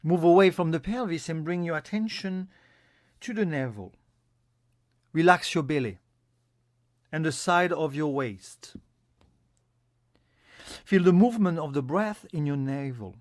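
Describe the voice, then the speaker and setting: A man giving a guided yoga nidra meditation as an instructor, in a calm, soothing, instructional manner. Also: a little high, measured pace, pauses often, no room sound, clean studio sound.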